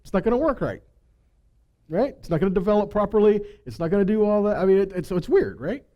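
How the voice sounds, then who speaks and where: High-pitched, animated man preaching. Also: quick pace, highs cut off. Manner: rhetorical questions, emphatic repetition. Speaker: Bible teacher. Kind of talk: conversational sermon with scripture reading.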